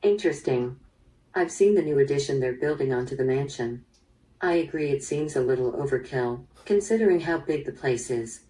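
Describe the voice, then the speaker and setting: Low-voiced, probing female police detective. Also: lively intonation, dark tone. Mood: probing, measured. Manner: measured, probing, businesslike. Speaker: voice actor in interactive audio drama. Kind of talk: interactive radio-drama acting. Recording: played through speaker, slightly distant.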